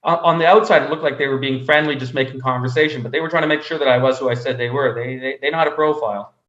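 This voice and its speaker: Echoey, halting man telling personal stories. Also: mid-range voice, fairly even intonation, a bit bright. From Canada (Montreal). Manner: halting, repeats words as he goes. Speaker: interview guest. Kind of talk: conversational personal storytelling. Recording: roomy echo, slightly dull sound.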